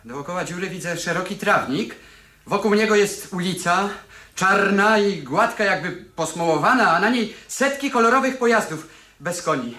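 A man acting in a radio play as an excitable, bewildered young tenant who tells his story with animated wonder. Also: high voice, normal speed, reverberant. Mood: astonished.

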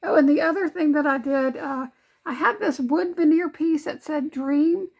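Lady crafting vlog, high voice, laughs a lot, a little tired voice. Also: moderate pace, vocal fry, highs cut off. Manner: chatty, laughs often, talks to her dogs. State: losing her voice. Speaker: crafting channel host. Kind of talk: casual vlog talk. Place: home craft room.

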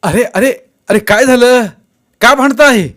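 A man voicing a stern grown villager in a story, loudly and insistently challenging with pointed questions. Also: high-pitched, lively intonation, measured pace, clear, resonant tone, pitched above the performer's own voice. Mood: alarmed, scolding.

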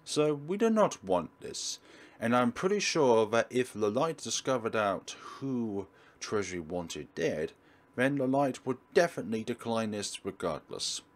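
A man's voice: mid-range voice, very animated, unhurried. A man giving a walkthrough commentary as he plays a game. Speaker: let's player.

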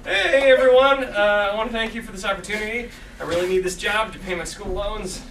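A man's voice: high-pitched, fairly quick, fairly bright tone. A man playing an eager but uneasy graduate starting as a barista in a comedy table read. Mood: eager, polite. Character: eager, uneasy young male barista graduate, twenty-two. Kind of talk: comedy table read before a live audience. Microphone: far camera. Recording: distant, echoey stage recording with background noise.

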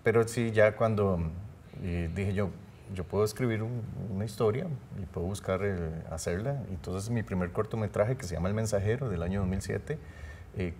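Low, dark-voiced man in a TV studio. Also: moderate pace, a little hiss or hum. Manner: earnest, rambling, with many restarts. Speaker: film director guest. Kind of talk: studio interview.

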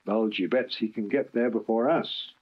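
A man's voice: moderate pace, husky. A man voicing an anxious, indignant steam engine in a children's storybook. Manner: shrill, worried. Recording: dull lo-fi archive recording.

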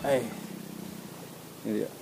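Fishing vlogger man, loud music behind. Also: slow. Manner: colloquial, short exclamations while fishing. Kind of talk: casual vlog chat. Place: open rocky seashore, outdoors. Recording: loud music over outdoor action-camera voice.

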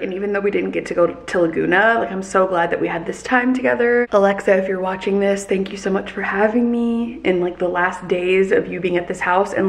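Young woman, 20s, casual vlogger, middle pitch, chatty and upbeat, camera-held sound. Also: vocal fry. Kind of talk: casual vlog chat to camera. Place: kitchen, car and closet indoors. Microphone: handheld camera at arm's length.

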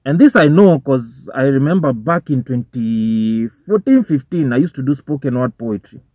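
A man's voice: medium pitch, expressive, normal speed, a little mellow, no echo. A young Kenyan man with a Kenyan accent giving casual, profane commentary to camera from a parked car, mixing English with Swahili slang. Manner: informal, swearing, switching between English and Swahili slang. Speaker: vlogger. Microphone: phone or camera on the dashboard. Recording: dull, dry car recording.

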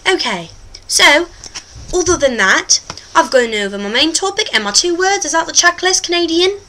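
A woman playing a boastful, goofy British male show host with an exaggerated British accent, presenting a comic vlog in a hammy, excitable, rambling way. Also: extremely high pitch, normal speed, slight background noise, heavily acted. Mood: mock instructive.

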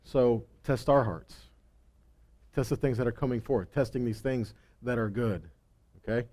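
A man's voice: on the high side, mellow. A middle-aged man preaching in a conversational teaching style, stressing key words and posing rhetorical questions, on a headset mic. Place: stage in a congregation hall.

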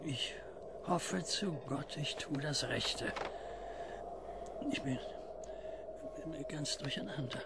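A man acting a grave, insistent older neighbour in a German radio drama, slow and urgent. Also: on the high side, in a whisper. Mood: grave, troubled. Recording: loud music and effects behind.

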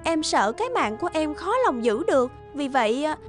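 High-pitched scheming young maid. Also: female voice, very animated, fairly quick. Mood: sly, pleading. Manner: haughty, falsely sweet. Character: scheming, vain young maidservant posing as princess. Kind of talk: animated fairy-tale character acting. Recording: music under the voice.

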